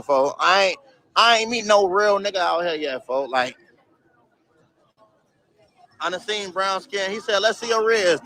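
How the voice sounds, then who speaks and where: A young man with a high, bright, ringing voice talks loosely to his stream chat, full of slang and swearing. He reads viewer comments aloud and laughs them off while out on a city street, talking into a phone held at arm's length. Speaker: livestreamer. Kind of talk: casual livestream chat reading viewer comments.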